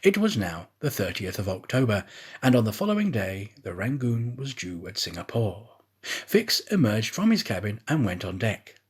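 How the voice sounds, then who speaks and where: A man narrating a classic adventure story in a theatrical, expressive style. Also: fairly low pitch, moderate pace, breathy edge. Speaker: audiobook narrator, actor. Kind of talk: dramatic scripted storybook narration.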